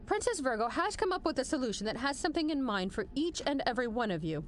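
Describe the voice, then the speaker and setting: A woman acting a commanding female general in an audio drama, brisk, formal and dryly sarcastic. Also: high-pitched, very animated, a little hiss or hum.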